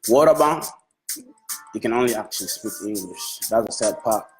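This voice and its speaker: A man's voice: fairly low pitch, lively intonation, normal speed, low-quality recording. Man praising an artist, faint music behind. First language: Swahili. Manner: enthusiastic, repetitive praise with restarts. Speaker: music manager. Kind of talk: Facebook live talk. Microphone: phone.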